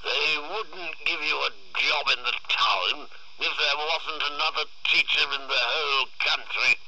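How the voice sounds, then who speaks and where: Man acting a bellowing, rough-voiced drunken father. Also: fairly high pitch, bright, forceful tone, lo-fi sound, voice pushed up, full character voice. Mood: roaring, taunting. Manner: roaring, taunting, drawn-out delivery.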